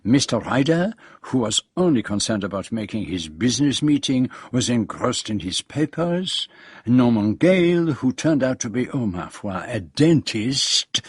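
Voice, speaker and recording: An actor playing a famous foreign detective in a radio drama, with a French accent, precise, courteous, self-assured and dryly witty. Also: male voice, mid-range voice, slightly rough, dry recording, clean studio sound. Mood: dryly amused. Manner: precise, courteous, sprinkles speech with French phrases. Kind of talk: radio drama dialogue and narration.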